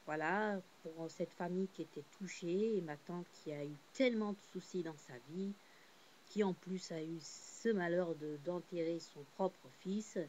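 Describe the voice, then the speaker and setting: A woman's voice: fairly low pitch, a little slow, a little hiss or hum, poor audio. Woman, casual monologue, rambling and heartfelt, full of fillers. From born in Portugal, Cape Verdean family. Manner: rambling, many fillers and restarts; heartfelt, swings between subdued and animated.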